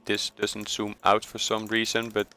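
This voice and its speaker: A man's voice: fairly low pitch, monotone, unhurried, bright, forceful tone, clear, resonant tone. A man from the Netherlands with a Dutch accent, casually talking through a game tutorial with many hesitant fillers and self-corrections. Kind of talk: casual screen-recorded tutorial voice-over.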